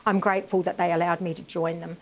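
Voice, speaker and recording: Middle-aged woman, lowish voice, passionate advocate talking on air with fillers. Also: little pitch movement, fast, a little mellow. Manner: emphatic, impassioned, many fillers. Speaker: bereaved mother and veterans' advocate. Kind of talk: live TV interview.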